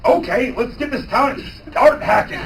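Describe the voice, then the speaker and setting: A man playing a brash, cocky, eager young adventurer with loud exclamations in a live manga reading, recorded from a distance. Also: very high voice, expressive, moderate pace, gravelly, a little hiss or hum, heavily acted.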